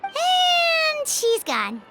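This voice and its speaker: A woman voicing a tiny, childlike, cheerful fairy companion in an anime game, with a squeaky voice. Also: wildly swooping pitch, very slow, gravelly, very noisy, full character voice. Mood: concerned. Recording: background music behind the voice.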